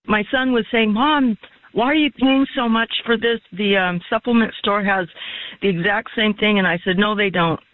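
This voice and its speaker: A woman's voice: mid-range voice, moderate pace, no echo. A mature woman tells an anecdote as a customer testimonial. She chats casually on a dry, narrow telephone-like line. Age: middle-aged or older.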